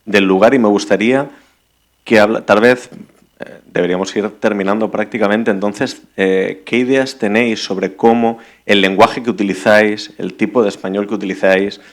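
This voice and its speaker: A man's voice: on the low side, steady tone, moderate pace. A man from Catalonia moderating a literary panel, asking long questions with many restarts. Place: library event hall.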